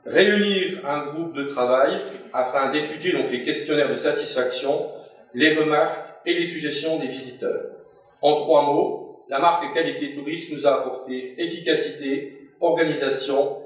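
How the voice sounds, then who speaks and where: A man with a fairly high-pitched voice delivers a prepared formal statement. The room is strongly reverberant and he sounds some way from the microphone. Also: moderate pace. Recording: very echoey room, distant mic.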